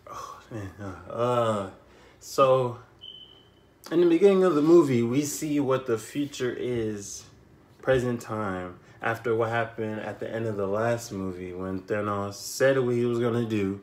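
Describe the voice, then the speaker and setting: A young man giving a casual, unscripted movie recap, telling it in an animated way with repeated phrases and slangy asides. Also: medium pitch, measured pace. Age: young adult. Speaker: YouTube film reviewer. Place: small bedroom, indoors.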